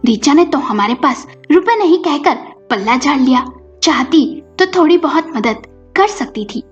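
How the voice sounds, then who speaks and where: A young daughter-in-law from a family story, played by a woman narrator, earnest and pointed in reproach. Her voice is fairly high, with music behind it. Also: expressive, moderate pace. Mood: reproachful. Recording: muffled recording with music behind.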